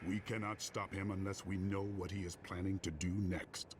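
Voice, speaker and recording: An actor playing a resolute, grim warrior hero in a video game, speaking in stern declarations. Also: male voice, low-pitched, little pitch movement, normal speed, noisy background, close to an everyday voice. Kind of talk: video game dramatic acting.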